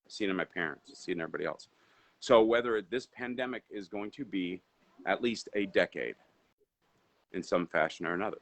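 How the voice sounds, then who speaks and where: A man with a fairly low voice, from Indonesia and a native Indonesian speaker, chats as a guest over a video call. He talks loosely and rambles, stacking "um"s and restarting his sentences. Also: fairly quick, creaky. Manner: rambling, filler-heavy, restarts often. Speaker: guest entrepreneur. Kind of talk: casual live interview chat.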